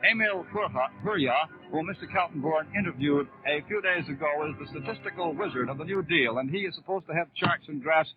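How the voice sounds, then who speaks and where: An American man giving formal, measured live radio commentary as a news announcer at a 1930s political convention, on an old radio broadcast. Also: a little high, over music. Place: convention hall broadcast position. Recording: scratchy, narrow-band 1930s radio broadcast.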